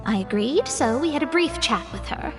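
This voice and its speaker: A woman's voice: high voice, lively intonation, normal speed, over music. A woman playing a self-assured young woman in a video game, poised, articulate and slightly formal. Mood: composed, upbeat.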